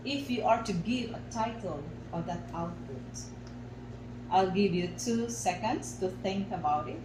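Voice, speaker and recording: A woman with a Filipino accent guides an art exercise as a facilitator, giving deliberate instructions in short, separated phrases. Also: medium pitch, lively intonation. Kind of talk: slow guided instruction for a radio lesson. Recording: echoey room, clear background noise.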